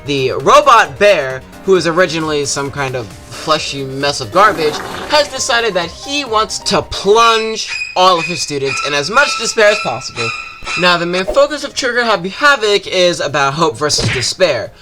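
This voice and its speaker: A man's voice: a little high, sing-song, normal speed, over music. A man giving a casual, jokey, scripted video game review as a YouTuber, with some swearing.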